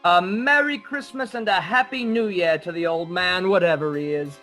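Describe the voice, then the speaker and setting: Jolly nephew: high, cheerful, toast-giving voice, faint music under, period radio drama. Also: male voice, moderate pace, bright, forceful tone. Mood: merry. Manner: cheerful, speechifying. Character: merry, good-natured nephew Fred proposing a toast. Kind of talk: radio play acting.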